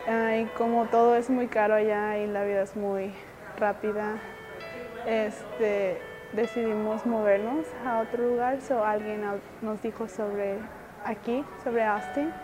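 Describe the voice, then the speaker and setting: A woman with a Mexican accent, calmly telling why her family moved. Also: medium pitch, moderate pace, low-quality recording. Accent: Mexican Spanish. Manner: calm, flat, with Spanish fillers. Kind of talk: personal interview testimony. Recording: music bed under the voice.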